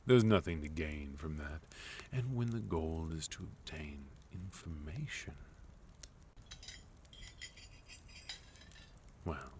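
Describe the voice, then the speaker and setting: A man playing a sophisticated, composed villain in an intimate ASMR roleplay, speaking in measured, courteous phrases with soft menace and deliberate pauses. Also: very deep voice, a little hiss or hum, exaggerated voice. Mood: composed, softly menacing. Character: sophisticated, composed male villain, leader of enemy organization.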